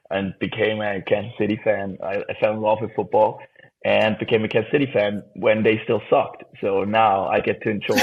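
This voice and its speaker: Young man, Swiss accent with American touches, gravelly husky voice, casual and laughing, tinny phone-like audio. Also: on the low side. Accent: Swiss with some American. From Switzerland. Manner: laughs often, restarts phrases. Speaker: guest drummer. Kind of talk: casual interview answers. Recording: tinny phone-like remote line.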